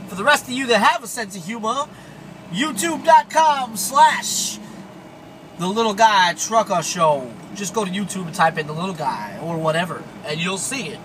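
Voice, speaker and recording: A man's voice: high voice, lively intonation, moderate pace, noisy background. A man hosting a trucker show, chatting casually on CB radio from inside a vehicle.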